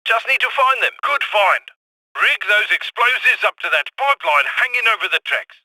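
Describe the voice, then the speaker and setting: An actor playing an eager, optimistic heist planner, briskly giving mission instructions in a video game over a radio filter. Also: male voice, very high voice, animated, pushed and bright, full character voice, low-quality recording. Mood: brisk, focused. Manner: brisk, urgent instructing with wry asides. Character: young-sounding male heist planner guiding crew, eager and optimistic. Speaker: mission guide.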